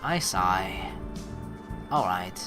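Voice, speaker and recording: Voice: deep voice, animated, extremely slow. A let's play host from the United States, reading a visual novel aloud and rambling through running commentary over game music. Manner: rambling, thinks aloud, restarts and asides.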